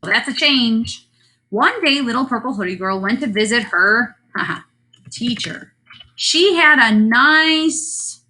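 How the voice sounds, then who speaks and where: Woman, elementary teacher, bright higher voice, thinking aloud through a story lesson, playful asides. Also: poor audio. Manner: addresses children, playful asides.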